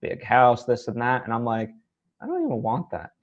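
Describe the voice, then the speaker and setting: A man hosting a casual interview, speaking in an earnest, hesitant way with halting phrasing. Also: mid-range voice, huge pitch swings, highs cut off. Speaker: interview host. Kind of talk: casual one-on-one interview conversation.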